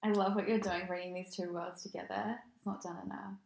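A woman's voice: mid-range voice, flat delivery, fairly quick, gravelly, darkish tone, roomy sound. A woman co-hosting a podcast in casual chat, giggly and encouraging. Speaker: podcast co-host.

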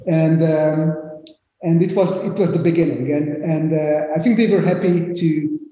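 A Hungarian man in his fifties with a Hungarian accent, speaking English as a winery guest in a remote interview, halting with many restarts. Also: on the high side, steady tone, very echoey, lo-fi sound.

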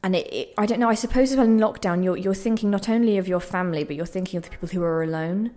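A woman's voice: mid-range voice, quick pace, dark tone. A young woman giving reflective interview answers in a hesitant, self-deprecating, thoughtful way. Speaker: playwright being interviewed.

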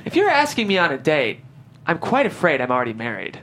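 A woman's voice: sits low, huge pitch swings, fairly quick, a bit bright, heavily acted. A woman voicing a jovial, wisecracking middle-aged male doctor in a script read. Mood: reassuring.

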